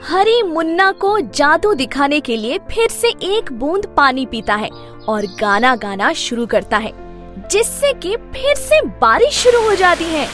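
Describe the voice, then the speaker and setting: A woman's voice: high voice, big pitch swings, unhurried. A woman narrating a scripted children's story as its storyteller. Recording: loud music under the voice.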